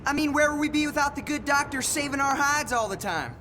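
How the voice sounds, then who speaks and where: Cocky young american soldier, high bright voice, teasing everyone, war game cutscene. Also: male voice, fairly quick, slight background noise. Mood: needling. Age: young adult. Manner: needling, wisecracking.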